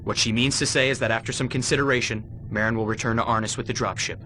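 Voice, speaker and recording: A man playing a protective, burdened human hero in a video game, speaking earnestly and haltingly. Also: medium pitch, fairly even intonation, noisy background, lightly acted. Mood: composed. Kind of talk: dramatic scripted game cutscene acting.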